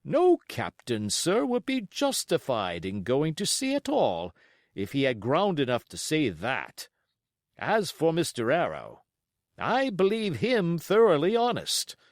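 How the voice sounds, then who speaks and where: A man's voice: fairly high pitch, no room sound, clean studio sound, voice pushed up, full character voice. A man voicing a stern, blunt and dutiful sea captain in an audiobook, speaking formally and with curt emphasis.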